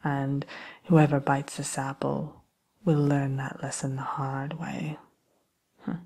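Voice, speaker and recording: A woman playing a sly, genteel evil queen shopkeeper in a soft, breathy, often whispered ASMR role play. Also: very deep voice, level tone, heavily acted. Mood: sly, confiding. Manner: unhurried, genteel, slyly menacing.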